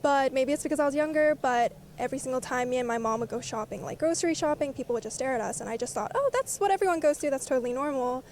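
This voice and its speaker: Young woman from South Africa, high bright-toned voice, rattles off answers very fast, outdoors over faint street hum. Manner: rapid, chatty, laughs often. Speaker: interviewee. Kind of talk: casual street interview.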